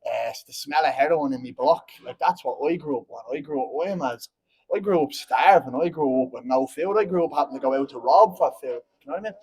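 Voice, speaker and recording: A man's voice: on the high side, quick pace, darkish tone, breathy edge. A man in his twenties with a Dublin Irish accent, talking bluntly and heatedly at a post-fight press conference, rambling and often asking "you know what I mean". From Ireland. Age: 20s.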